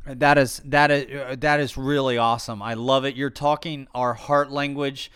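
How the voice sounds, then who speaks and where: A man hosting a podcast, asking questions in an enthusiastic, halting way. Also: mid-range voice, steady tone, fairly bright tone, smooth, dry recording, studio quality. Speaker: podcast host. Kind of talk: interviewing a guest.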